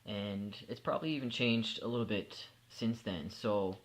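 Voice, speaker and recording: A man's voice: on the low side, normal speed. Sleepy, candid young man vlogging. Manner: relaxed, candid, with frequent fillers and restarts. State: sleepy at bedtime. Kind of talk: casual personal vlog.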